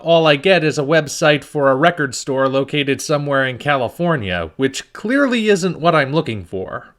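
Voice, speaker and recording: A man hosting a video review, reading scripted narration with wry, dry asides. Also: a little high.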